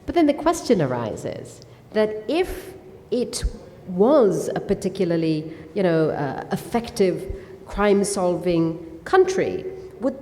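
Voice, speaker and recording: Mellow-voiced woman moderator. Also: lowish voice, big pitch swings, takes it slowly. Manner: thoughtful, hesitant questions with fillers. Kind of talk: festival stage conversation. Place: festival hall. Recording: long hall reverb.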